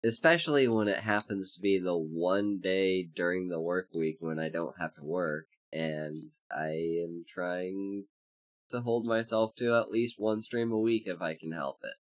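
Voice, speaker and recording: Slow, low, congested streamer voice. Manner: rambling, with many fillers and restarts; greets chat members by name. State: congested and hoarse from illness. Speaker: VTuber streamer. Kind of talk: casual livestream chat.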